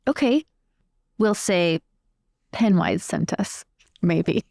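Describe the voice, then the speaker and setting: A woman's voice: on the low side, lively intonation, slow, creaky, a little mellow, dry recording, clean studio sound. A woman playing a tabletop roleplaying game, improvising in a casual, chatty way. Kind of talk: casual improvised in-character chat.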